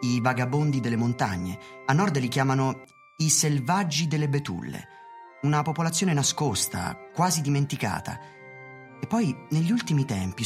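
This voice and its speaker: A friendly, courteous census taker in a radio drama. The voice is low with lively movement in it. Faint music sits behind the polite, earnest delivery. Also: female voice, full character voice. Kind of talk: radio play acting.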